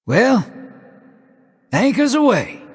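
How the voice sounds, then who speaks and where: A folksy, roguish drifter for a video game. He takes his time, sliding up and down in pitch with a husky, wry drawl. Long reverb trails the words. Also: very animated, slow, heavily acted. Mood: easygoing. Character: laid-back roguish male drifter, wry and streetwise. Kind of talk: scripted game voice acting.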